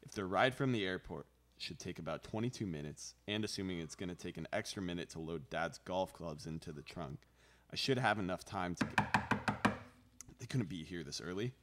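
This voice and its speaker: Low-voiced young man acting a put-upon host. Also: animated, fairly quick, fairly bright tone, slight background noise. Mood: musing. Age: young adult. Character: fussy, put-upon twenty-six-year-old son hosting dinner. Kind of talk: live radio theater acting, scripted. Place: small studio, seated at table with others. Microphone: stand microphone up close.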